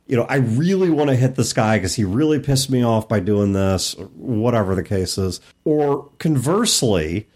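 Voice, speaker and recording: A man's voice: medium pitch, lively intonation, normal speed, hardly any pauses, darkish tone. A man co-hosting a podcast, chatting casually and informally about tabletop games. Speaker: podcast co-host.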